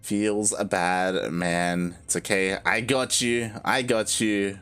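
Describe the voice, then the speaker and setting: A man doing relaxed, measured play-by-play as co-caster of a live strategy match. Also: on the low side, clean tone, faint music behind. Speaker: esports co-caster.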